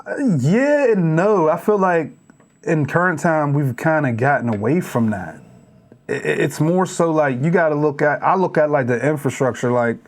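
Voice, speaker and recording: A man's voice: fairly high pitch, moderate pace. A man from Pittsburgh with a Pittsburgh accent, chatting as a guest rapper on a podcast and mimicking how other cities talk. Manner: animated storyteller who mimics other cities' speech. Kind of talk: casual podcast conversation.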